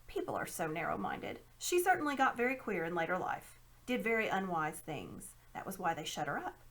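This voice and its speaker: A woman's voice: on the low side, big pitch swings, brisk, fairly bright tone. Woman putting on a pompous, self-important old uncle. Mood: evasive, self-satisfied. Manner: pompous, condescending, grandiose. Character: smug, self-important old man, a scheming magician uncle. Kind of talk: storybook read-aloud character voice.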